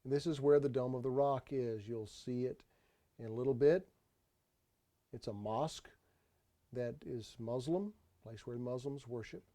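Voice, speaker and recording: A man giving an unscripted tour voice-over, explaining sights in a halting way and often repeating his phrases. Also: mid-range voice, mellow. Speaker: tour narrator. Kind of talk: unscripted travel video voice-over.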